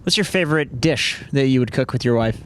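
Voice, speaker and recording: A man casually interviewing a player, playful and halting with lots of filler words. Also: mid-range voice, slight background noise.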